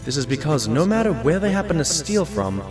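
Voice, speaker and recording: A man voicing a genial doctor pony, a detective's companion, narrating in an expressive, wry storytelling manner. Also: fairly high pitch, fairly quick. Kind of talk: storybook-like first-person narration in audio drama. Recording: music bed behind the voice. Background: background music.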